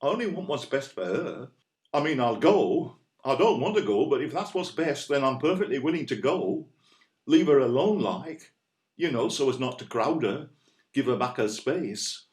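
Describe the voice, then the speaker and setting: An actor playing an earnest, anxious Northern boyfriend in an audio play, with a West Yorkshire accent and a hesitant, rambling, colloquial way of talking. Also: male voice, medium pitch, animated, normal speed, darkish tone, echoey room, close to an everyday voice. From West Yorkshire, England. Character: earnest, anxious, plain-spoken Northern boyfriend, out of his depth.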